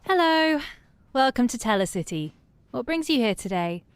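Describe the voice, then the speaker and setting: A woman's voice: on the high side, big pitch swings, normal speed, slight background noise. A woman playing a polite, officious receptionist at a secretive tech company in an audio drama. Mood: courteous. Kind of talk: full-cast audio drama acting.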